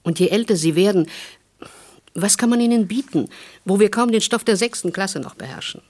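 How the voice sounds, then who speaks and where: A motherly, resolute middle-aged neighbour played by a woman in a radio drama. Her voice sits at a medium pitch. She speaks in an earnest, insistent way, as someone who has been through much. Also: a little fast, darkish tone, close to an everyday voice. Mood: earnest, urging. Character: motherly, resolute childless older neighbour woman, former prisoner. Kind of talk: German radio play acting.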